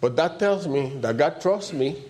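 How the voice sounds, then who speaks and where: A man with a loud voice and preaches in an informal, conversational way, repeating short phrases for emphasis. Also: on the high side, expressive, unhurried. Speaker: preacher leading a church service.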